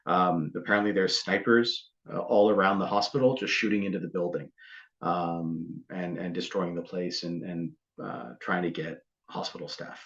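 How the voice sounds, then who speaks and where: A man's voice: low voice, steady tone, normal speed, fairly bright tone. A man co-hosting a podcast, speaking indignantly in casual talk with many ums. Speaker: podcast co-host. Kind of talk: casual political chat. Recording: dull lo-fi sound.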